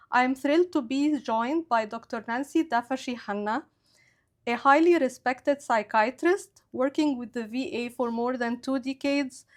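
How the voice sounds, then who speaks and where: High-voiced woman hosting a podcast. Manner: reads a prepared intro, smiling and upbeat. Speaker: podcast host. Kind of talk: scripted introduction and interview questions.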